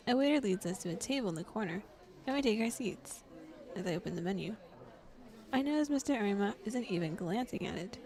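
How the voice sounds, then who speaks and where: A woman voicing a scheming young male demon who calmly narrates in the first person while hunting a victim, reading a visual novel aloud. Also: mid-range voice, natural voice.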